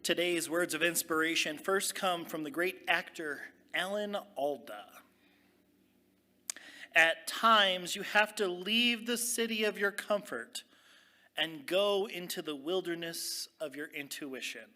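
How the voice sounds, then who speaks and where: A man's voice: sits high, slow, sharp tone. A man reading inspirational quotations aloud at a spiritual service.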